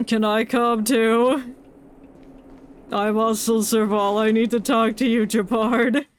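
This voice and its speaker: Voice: medium pitch, flat delivery, slow pace, a little hiss or hum. A streamer giving casual, jokey commentary over a game.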